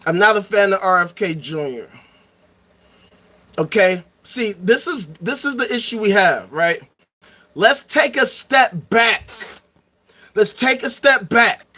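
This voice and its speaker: A man's voice: high voice, pushed and bright. A man giving an impassioned solo rant to his viewers, firing off repeated rhetorical questions with bursts of profanity. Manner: reads aloud from a reference text. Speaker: political commentary streamer.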